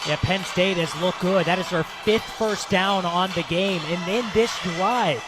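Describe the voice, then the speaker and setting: Higher-voiced American man giving football analysis. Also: measured pace, very noisy. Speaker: color commentator. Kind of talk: live football analysis between plays.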